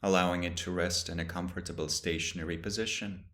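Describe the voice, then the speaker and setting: A man with a very deep, low voice leads a guided meditation. He speaks slowly and calmly, leaving long pauses between short phrases. His tone stays soft and steady throughout. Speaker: meditation teacher.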